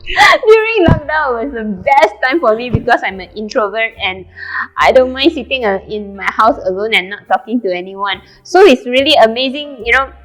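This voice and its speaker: A woman hosting an interview in a shop, speaking slowly and hesitantly with fillers. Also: fairly high pitch, expressive, a bit bright, soft background music, rough recording. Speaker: host, interviewer. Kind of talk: casual interview. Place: small shop café indoors.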